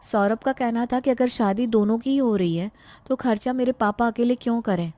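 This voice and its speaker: A woman's voice: fairly high pitch, brisk, warm tone, dry recording, natural voice. A woman playing an earnest young adult in a cartoon moral story, speaking in a protesting, defensive manner.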